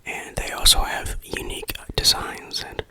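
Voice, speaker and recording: A person whispering an informal ASMR-style review in a breathy, unvoiced, measured voice. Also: sharp tone. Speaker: game reviewer and ASMR host.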